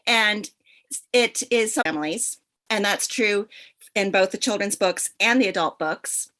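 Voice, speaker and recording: A woman with an American accent speaking as a panelist over a video call, enthusiastic and quick to laugh. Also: medium pitch. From United States. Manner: warm, enthusiastic, laughs often. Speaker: panelist, author. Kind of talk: panel discussion.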